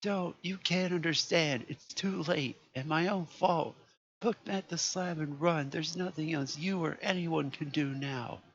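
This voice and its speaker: A man voicing a terrified man trapped in a vault, pleading and shouting in panic. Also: mid-range voice, moderate pace, voice pushed up, lightly acted. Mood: frantic. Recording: lo-fi with faint noise.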